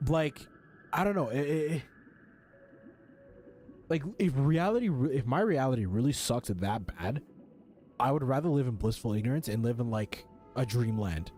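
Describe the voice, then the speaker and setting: A man's voice: mid-range voice, normal speed, long pauses, a little mellow. A man streaming a video game with casual, blunt, slangy live commentary and some swearing. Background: game music.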